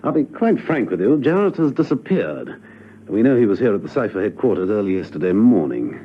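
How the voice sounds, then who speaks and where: An actor playing a suave, unflappable gentleman secret agent in a radio drama, urbane, understated and politely probing. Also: lowish voice, very animated, fairly quick, slightly rough. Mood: firm, courteous. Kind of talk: spy radio drama acting. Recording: muffled old radio recording.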